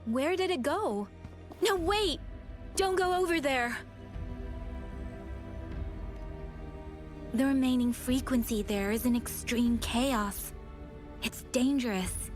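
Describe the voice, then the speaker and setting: Cautious, higher-voiced game companion. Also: female voice, unhurried. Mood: alarmed. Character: cautious, perceptive, serious young woman companion. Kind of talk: video game voice acting. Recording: music behind the voice.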